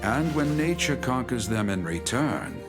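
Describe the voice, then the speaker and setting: A man's voice: mid-range voice, very animated, a little slow, over music. A man playing an aging, solemn adventurer in a video game, delivering a grave, poetic monologue with weary hope. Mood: solemn, hopeful. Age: older.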